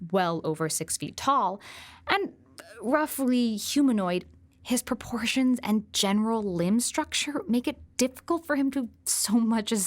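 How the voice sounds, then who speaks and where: Actress as public-radio journalist, medium pitch, composed, deadpan, measured scripted narration. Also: female voice, natural voice. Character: composed, earnest public-radio documentary reporter.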